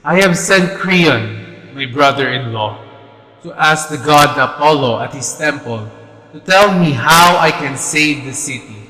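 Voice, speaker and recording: A man's voice: a little high, expressive, unhurried, pushed and bright. A man playing a solemn, commanding king of ancient Thebes who is protective of his people, declaiming in a stately staged reading. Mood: sorrowful, hopeful. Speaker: actor in a readers' theatre play. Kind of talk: staged readers' theatre of Greek tragedy. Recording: echoey hall reverb with music behind.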